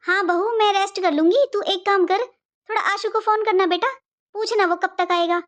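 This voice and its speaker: A woman's voice: very high-pitched, steady tone, normal speed, fairly bright tone. A woman playing a middle-aged mother-in-law in a cartoon family drama, a fretful matriarch who talks in a rambling, repetitive, motherly way.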